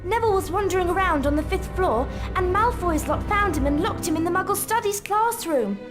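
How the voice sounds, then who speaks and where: A woman's voice: very high-pitched, expressive, fairly quick, over music. A woman voicing an earnest schoolgirl witch in a video game. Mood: earnest, urgent. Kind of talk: video game cutscene acting.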